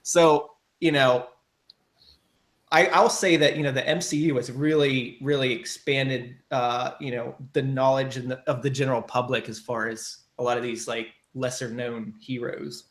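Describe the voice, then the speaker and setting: A man's voice: medium pitch, fairly even intonation, moderate pace, a bit bright. A man hosting a casual podcast chat, rambling with lots of fillers and restarts.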